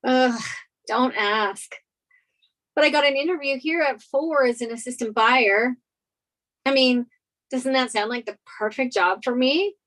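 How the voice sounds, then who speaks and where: A woman's voice: high-pitched, unhurried, pauses often, fairly bright tone. An actress reading the part of a naive, sheltered young woman in a sitcom table read. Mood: hopeful.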